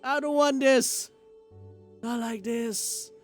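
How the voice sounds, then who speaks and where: A man streaming a game, reading its story aloud in an expressive, theatrical way. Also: extremely high pitch, slow pace, faint music behind. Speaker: streamer playing a visual novel. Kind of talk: dramatic read-aloud narration with live reactions.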